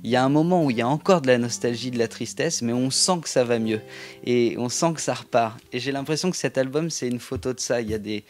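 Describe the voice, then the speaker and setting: A man in a mid-range voice hosts the interview, effusive and chatty. His questions ramble on at length, and music plays clearly behind him. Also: mid-range voice, fast talker. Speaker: interviewer and host. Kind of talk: enthusiastic casual interview.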